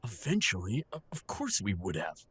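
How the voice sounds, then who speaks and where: A man's voice: on the low side, huge pitch swings, normal speed, fairly bright tone, breathy edge, no room sound, exaggerated voice. A man playing an aging, earnest father in an audio drama, defensive and hesitant with his grown son. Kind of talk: audio roleplay drama acting.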